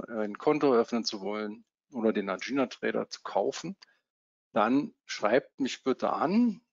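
A man in his fifties from Berlin, giving a casual live webinar as a trading software coach, with many fillers. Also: lowish voice, highs cut off. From Germany (Berlin). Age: 50s. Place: home office.

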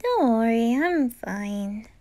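A woman voicing a shy, downcast teenage anime boy, speaking quietly and hesitantly in an amateur audio roleplay. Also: a little high, animated, slow. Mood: subdued, shy.

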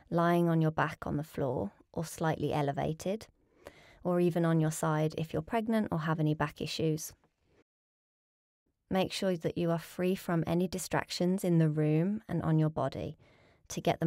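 A woman leading a guided relaxation as a yoga nidra teacher, speaking in a calm, soothing, measured way with pauses. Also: deep voice, no room sound, studio quality.

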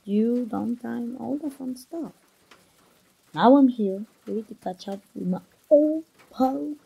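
A young woman voicing an engaged woman confiding in an old friend, in a soft, breathy, close ASMR roleplay style. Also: on the high side, full character voice, low-quality recording. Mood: defensive, coaxing. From Mexico.

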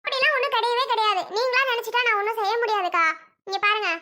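Woman playing a scolding aunt, very high, bright. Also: rapid-fire. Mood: defensive. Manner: scolding, defensive. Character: scolding young aunt, exasperated and self-justifying.

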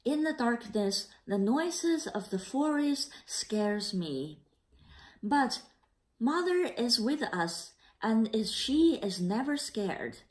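Slow, higher-pitched woman reading a storybook aloud. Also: very animated. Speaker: children's picture book reader.

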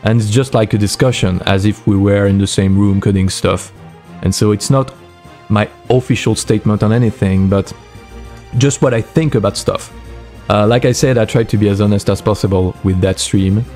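A man's voice: fairly low pitch, fairly even intonation, normal speed, a little mellow. A native French-speaking man with a French accent, a programmer talking casually on a live coding stream, thinking aloud with hesitations and repeated words. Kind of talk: casual unscripted stream commentary. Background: music playing.

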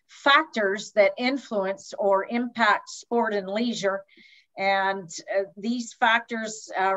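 A woman from Canada delivers a lecture over a video call at a slow, unhurried pace. Her voice sits at a middle pitch, and she often pauses with ums and uhs as she gathers her thoughts. The call audio sounds somewhat muffled. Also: a bit bright. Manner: slow, measured, with frequent hesitations. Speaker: guest lecturer. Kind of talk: online lecture over slides.

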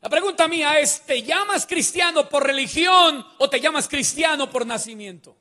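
A man's voice: extremely high pitch, expressive, moderate pace, bright, forceful tone. A man preaching a sermon on a large church stage into a handheld mic. He speaks emphatically and keeps putting questions to the congregation. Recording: reverberant church hall.

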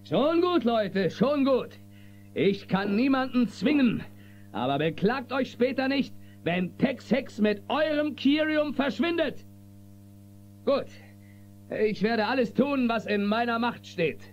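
High-pitched, animated heroic marshal. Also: male voice, takes it slowly, a little hiss or hum, exaggerated voice. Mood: resigned, determined. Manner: commanding, earnest. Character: resolute heroic space-western marshal, adult man. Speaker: actor in audio drama. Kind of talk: German children's radio play acting. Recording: dull lo-fi cassette, music behind.

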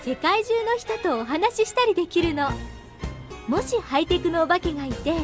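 A woman playing a polite, gentle schoolgirl in an anime. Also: extremely high pitch, expressive, a little fast. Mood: gently explaining. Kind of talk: Japanese anime acting. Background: background music.

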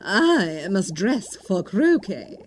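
A woman's voice: medium pitch, sing-song, a little hiss or hum. Woman playing a bossy, drawling storybook Duchess. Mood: haughty. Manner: loud, commanding, drawn-out.

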